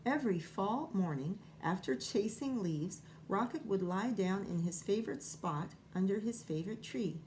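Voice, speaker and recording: A woman's voice: mid-range voice, lively intonation, normal speed, few pauses, darkish tone, a little hiss or hum, rough recording. A woman schoolteacher reading a children's picture book aloud, informally thinking aloud and wondering as she goes.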